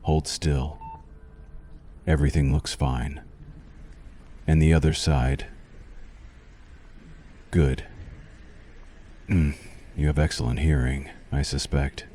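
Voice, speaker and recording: A man playing a suave, possessive vampire doctor in an ASMR roleplay, speaking formally, with teasing and quiet menace. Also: very deep voice, fairly even intonation, takes it slowly, pauses often, a little hiss or hum. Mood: clinical, teasing. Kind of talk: ASMR roleplay, scripted monologue to the listener.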